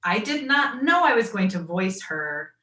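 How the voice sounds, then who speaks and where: A woman with a naturally low voice and a Midwestern accent, telling stories as a guest on a video call. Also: big pitch swings, measured pace, sharp tone, echoey room, recorded from a distance. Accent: American Midwestern. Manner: chatty storytelling, quoting others in asides. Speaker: guest voice actor and writer. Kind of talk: online fan Q and A.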